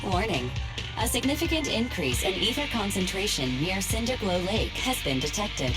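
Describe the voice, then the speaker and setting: Woman as game ai helper, calm n formal, medium pitch, loud music behind. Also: lightly acted. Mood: calm alert. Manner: formal, measured announcements. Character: polite female AI assistant, calm and factual. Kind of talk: video game voice acting.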